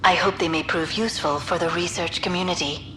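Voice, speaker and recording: A woman's voice: fairly low pitch, steady tone, brisk, sharp tone. Composed game archaeologist reading her research, academic n precise, faint music. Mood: composed, scholarly. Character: composed, scholarly female alien archaeologist. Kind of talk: scripted lecture narration in a video game.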